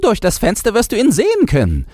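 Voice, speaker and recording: A man voicing a boastful, nostalgic old chained dog in a shrill, yapping falsetto for a storybook. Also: huge pitch swings, brisk, dark tone, dry recording, studio quality, full character voice. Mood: excited, knowing. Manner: knowing, exclamatory, barking.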